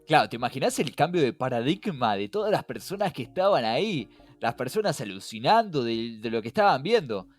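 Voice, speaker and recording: A man's voice: mid-range voice, very animated, brisk, few pauses, faint music behind. A man with a Rioplatense Argentine accent co-hosting a conversational explainer podcast, often posing questions and then answering them. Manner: rhetorical questions to co-host and listener. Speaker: podcast co-host.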